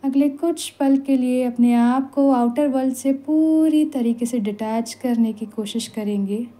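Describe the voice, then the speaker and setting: Meditation instructor: Indian woman, slightly high but relaxed voice, soothing and unhurried, long pauses. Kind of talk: guided meditation in Hindi with some English phrases. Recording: voice over background music. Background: meditation music.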